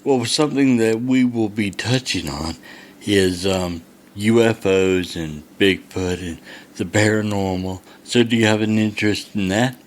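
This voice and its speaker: A man in his sixties hosting a podcast, asking questions with halting starts and fillers. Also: lowish voice, a little hiss or hum. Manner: halting, many uhs and restarts. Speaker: podcast host. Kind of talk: casual podcast interview.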